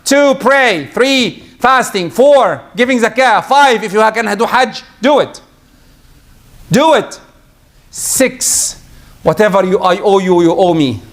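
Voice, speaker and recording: A man giving a Friday sermon in a high voice, switching into quick recitation and prayers. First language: Arabic. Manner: lively preaching, quick Arabic recitation and prayers. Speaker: preacher.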